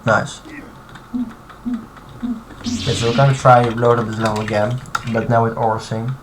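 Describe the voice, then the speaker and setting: A man at his computer, narrating a live stream in a loose, halting way, stopping and restarting as he puzzles over what he sees. His voice sits in the middle range, and clear background noise and keyboard typing run under it. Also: medium pitch, fairly even intonation. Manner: thinking aloud, halting, many fillers and restarts. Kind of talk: casual live-stream commentary while modding.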